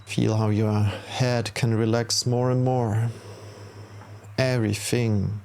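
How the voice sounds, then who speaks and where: A man guiding a yoga nidra meditation as an instructor, soothing and gentle, leaving long pauses between short instructions. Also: lowish voice, little pitch movement, gravelly, a little hiss or hum. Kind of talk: guided meditation, slow body scan.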